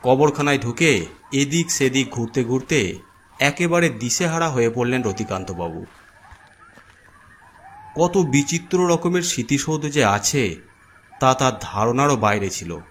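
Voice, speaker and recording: A man's voice: mid-range voice, expressive, unhurried, long pauses, a little hiss or hum. A man reading a scripted ghost story as a dramatic narrator. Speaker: narrator of a horror cartoon story. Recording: background music under voice. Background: music.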